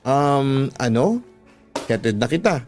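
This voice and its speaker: A man's voice: mid-range voice, very animated, takes it slowly, darkish tone. A man with a Filipino accent voicing a lovestruck, confident young man in a radio drama, with music underneath. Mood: caring.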